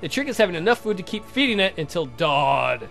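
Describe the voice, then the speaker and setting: Visual novel vampire, played by a man: haughty, formal, condescending lecturer, high register, very animated delivery. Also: a little fast, gravelly, soft background music, heavily acted. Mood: lecturing.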